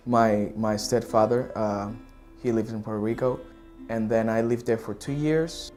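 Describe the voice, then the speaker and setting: A young man from the Republic speaks English, his voice sitting a little low. He talks haltingly in an interview, pausing to search for words. Soft music plays faintly underneath. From Dominican Republic. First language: Spanish. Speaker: theater student.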